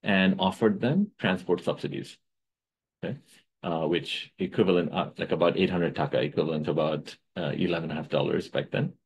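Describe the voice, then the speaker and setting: A man's voice: deep voice, a little fast, vocal fry, husky, dull audio. A middle-aged man from Bangladesh giving an academic talk over a video call, lecturing casually with many "right?" checks and self-corrections. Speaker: economics researcher giving a webinar talk.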